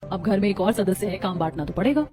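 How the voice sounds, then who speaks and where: A woman playing a protective elder sister-in-law in a cartoon, calm, firm and guiding. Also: medium pitch, fast talker, natural voice.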